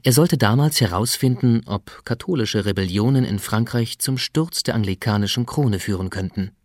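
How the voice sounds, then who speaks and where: A man narrates a radio drama in a fairly low voice, recorded very dry. His delivery is measured and factual, that of a scripted announcer setting out the story. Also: no echo.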